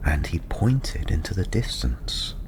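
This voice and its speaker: Deep, slow, whispery male ASMR storyteller. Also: very animated, noisy background. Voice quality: soft, breathy, often near a whisper. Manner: gentle, soothing, unhurried with long pauses. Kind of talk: soft-spoken ASMR fantasy storytelling.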